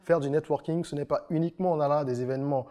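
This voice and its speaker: A podcast host in casual chat, asking questions and affirming the guest in a measured way. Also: mid-range voice, steady tone, mellow.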